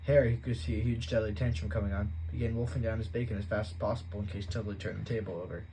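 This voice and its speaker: A man reading a novel aloud as an audiobook, in plain, steady storytelling. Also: on the low side, rapid-fire, background noise. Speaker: audiobook reader.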